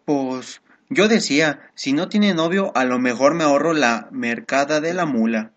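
A woman playing a naive, forward rustic man in a radio soap, with slow, drawn-out, countrified speech. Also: low-pitched, dull audio. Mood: naive, defensive. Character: clumsy rustic male mule buyer, naively forward. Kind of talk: Spanish-language radio soap acting.

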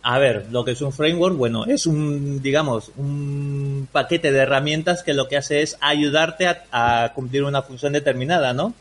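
Peruvian man with a softened accent. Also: mid-range voice, moderate pace, few pauses. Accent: Peruvian Spanish softened after years in Spain. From Peru. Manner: relaxed, with fillers and tag questions. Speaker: podcast guest, WordPress developer. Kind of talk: casual interview chat.

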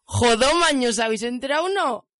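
A woman's voice: a little high, expressive, fairly quick, a bit bright. A woman with an Aragonese Spanish accent chatting casually and playfully as a radio panelist. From Aragon, Spain. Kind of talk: casual chat.

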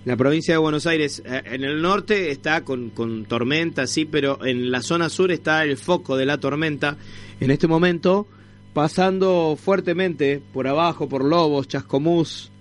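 A man's voice: fairly high pitch, measured pace, faint music behind. A man with an Argentine accent hosting a casual radio interview, speaking informally with short interjections. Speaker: radio host.

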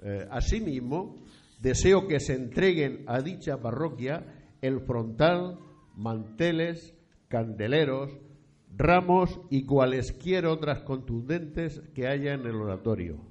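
A man acting a solemn, mellow-voiced elderly priest. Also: mid-range voice, expressive. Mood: solemn, deliberate. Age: older. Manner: formal, solemn, deliberate. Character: elderly, solemn 18th-century Spanish landowning priest dictating his will. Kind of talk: period radio play acting. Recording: dull lo-fi sound.

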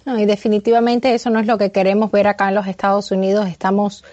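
A woman's voice: medium pitch, flat delivery, quick pace, darkish tone, lo-fi sound. A woman from Cuba, an interview guest speaking earnestly and persuasively, with many hesitations and restarts. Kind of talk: political interview. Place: remote, in the United States.

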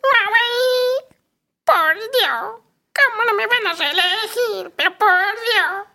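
Squeaky, tiny whining creature. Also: male voice, extremely slow, creaky, pushed and bright, full character voice. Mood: whining indignation. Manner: squeaky, plaintive, drawn out. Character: tiny whining exotic creature, vain would-be politician. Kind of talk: parody radio drama acting.

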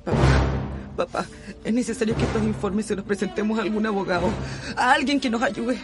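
A woman's voice: medium pitch, brisk, natural voice. An actress in a Chilean radio drama playing a desperate, crying daughter pleading with her father, with a Chilean accent. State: tearful, crying. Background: loud music.

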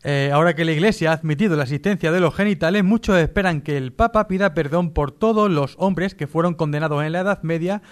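A man's voice: on the high side, fast, hardly any pauses. A man co-hosting a comedy radio show, reading mock news and slipping into casual banter.

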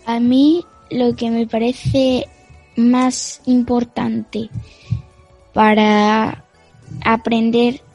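A ten-year-old girl asking an adult prepared questions, often halting and searching for words. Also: fairly high pitch, flat delivery, darkish tone, faint music behind, poor audio. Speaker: child interviewer.

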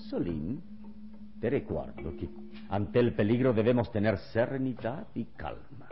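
A man playing a serene, wise adventure hero in a vintage radio serial, speaking with calm, deliberate reassurance. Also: lowish voice, faint music behind. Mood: admiring, serene. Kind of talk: vintage Spanish-language radio serial acting. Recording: dull, lo-fi old radio serial recording.

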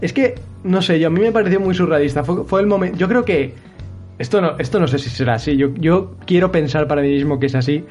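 A man's voice: fairly high pitch, quick pace, darkish tone. Young guy from hosting a games podcast, rambly, swears a lot, faint music behind. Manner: rambling, many restarts and swearing. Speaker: podcast host. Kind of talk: casual gaming chat.

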